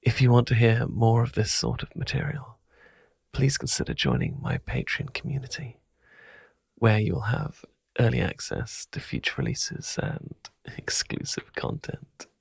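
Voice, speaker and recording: Husky whispering man, dry close sound. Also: fairly low pitch, big pitch swings, unhurried, no echo. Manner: soft, intimate whisper. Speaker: ASMR channel host. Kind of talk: whispered channel outro.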